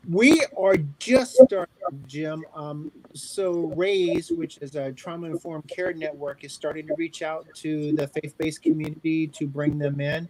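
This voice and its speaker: A man's voice: on the high side, steady tone, a little mellow, low-quality recording. A man in his early forties speaking earnestly on a panel, often restarting his sentences. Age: 40s. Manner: earnest, halting with frequent restarts. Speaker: panel speaker on community health planning. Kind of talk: panel discussion.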